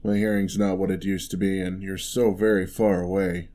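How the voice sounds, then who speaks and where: A man playing a huge, gentle, courteous giant in an ASMR roleplay, speaking softly with wry, teasing, old-fashioned politeness. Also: low-pitched, flat delivery, close to an everyday voice. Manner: unhurried, soft-spoken, old-fashioned courteous phrasing.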